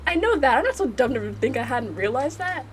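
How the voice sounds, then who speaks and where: A woman's voice: high voice, big pitch swings, a little fast, a little hiss or hum. A woman voicing a blunt, exasperated bookish man in a visual novel, in a dismissive, scolding manner.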